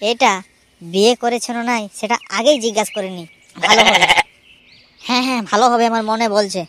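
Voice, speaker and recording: A man's voice: high voice, a little fast, background noise, lightly acted. A man voicing a nosy, bumbling village census surveyor in a comic cartoon, hesitant and muttering, with a rural West Bengal accent. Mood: nosy, scheming. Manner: hesitant, meddling, muttering crude asides.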